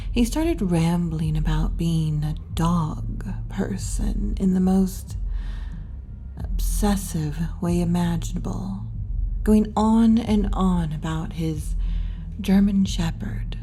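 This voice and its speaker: A woman's voice: lowish voice, warm tone, a little hiss or hum. A woman voicing a teasing, kindly tomboy wolf girl bartender in a laid-back, wry, intimate ASMR roleplay, often dropping toward a whisper. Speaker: roleplay performer. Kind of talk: ASMR roleplay, addressing the listener.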